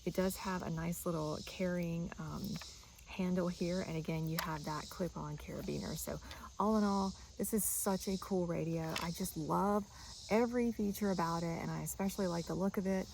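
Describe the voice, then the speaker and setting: Female product reviewer, low-pitched with some fry, casual and explanatory, faint noisy background. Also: steady tone, normal speed. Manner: chatty, instructional.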